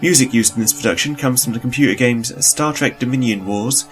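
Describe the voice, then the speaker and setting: Male announcer, scripted credits read, medium pitch, faint music behind. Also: a little fast.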